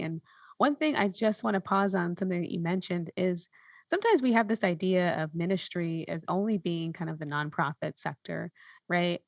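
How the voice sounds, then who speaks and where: A woman's voice: lowish voice, vocal fry, no echo, clean studio sound. A woman hosting a podcast, chatting casually and conversationally with her guest. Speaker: podcast host.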